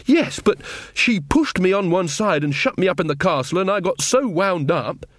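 An earnest younger witch played by a man in an audiobook, pitched up above his own voice. She is uncertain and emotional, speaking hesitantly and easily flustered. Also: lively intonation, normal speed, dry recording, clean studio sound. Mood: upset, flustered. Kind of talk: audiobook character dialogue.

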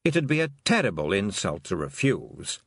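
A man voicing a dry, unhurried male talking toad that speaks slowly and deadpan. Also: fairly low pitch, gravelly, husky, no echo, heavily acted.

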